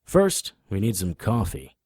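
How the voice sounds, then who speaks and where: An actor playing a wry, stubborn occult investigator in an audio drama, talking and narrating in a conversational noir style. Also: male voice, fairly low pitch, wildly swooping pitch, unhurried, a little mellow, breathy edge, dry recording, studio quality, exaggerated voice. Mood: wry, insistent.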